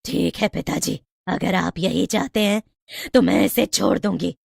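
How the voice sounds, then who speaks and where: A woman's voice: lowish voice, fairly even intonation, measured pace, creaky, slightly rough, no room sound, studio quality, full character voice. A woman voicing a devoted yet vengeful young snake-witch daughter in a moral-story cartoon, with emphatic, dramatic delivery. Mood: obedient.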